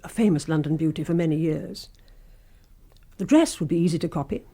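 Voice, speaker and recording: A woman's voice: low-pitched, brisk, mellow. An actress playing a sinister, obsessive housekeeper in a radio drama, insinuating and intimate, lingering on her phrases. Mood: sly, coaxing.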